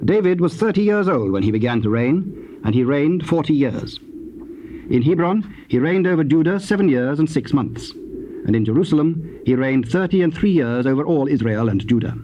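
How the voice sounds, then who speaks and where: A man with a British accent narrating scripture in a formal, measured way. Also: fairly high pitch, expressive, dark tone. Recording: dull, faintly noisy old cassette.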